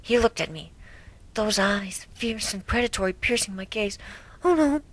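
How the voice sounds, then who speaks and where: A woman voicing a timid, gentle young female pegasus pony, telling a story in a soft, hesitant way that can drop to a near whisper. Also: mid-range voice, animated, normal speed, close to an everyday voice. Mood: timid, nervous. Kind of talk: first-person dramatic reading of a fan story.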